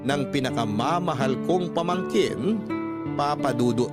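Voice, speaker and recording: A man's voice: fairly high pitch, lively intonation, measured pace. A man with a Filipino accent narrating a scripted, sentimental letter as a radio storyteller. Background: background music.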